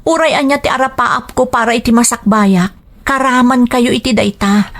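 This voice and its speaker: An actress plays a young working daughter, dutiful yet determined, in a radio drama. Her voice is fairly high and carries an Ilocano Filipino accent. She speaks in an earnest, pleading way. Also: normal speed, fairly bright tone. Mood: pleading, frustrated.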